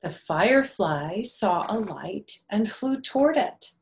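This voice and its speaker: A woman's voice: mid-range voice, animated, slow, vocal fry. An older woman reading a picture book aloud to young children, with simple phrasing and little questions and asides to the listeners.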